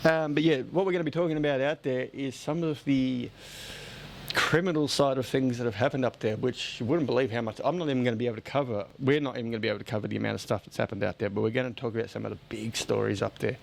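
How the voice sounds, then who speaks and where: A man's voice: medium pitch, fairly even intonation, fast talker, vocal fry, slight background noise. A man with an Australian accent chatting casually as a podcast host, blunt and joking as he rambles along. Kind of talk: casual chat.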